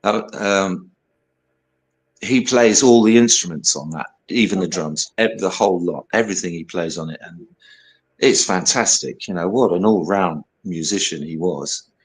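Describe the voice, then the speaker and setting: Older UK male guest, low baritone, rambling, filler-heavy, casual interview. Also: normal speed. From United Kingdom. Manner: rambling, hesitant, many fillers and "you know". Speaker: guest singer-songwriter.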